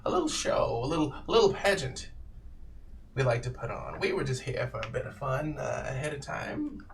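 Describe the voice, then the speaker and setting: Guy doing a creepy old ragged lantern man, slow and wheedling, kinda far off the mic. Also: medium pitch, sing-song, slight background noise. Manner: sly, wheedling, drawn-out. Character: creepy old male lantern-bearer in scavenged rags.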